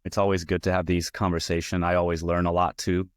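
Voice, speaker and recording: A man from Hungary in his forties, a guest talking through his career in a relaxed, rambling way with lots of fillers. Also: low-pitched, fast, no echo, studio quality. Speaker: guest, VP of user experience. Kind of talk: casual interview talk.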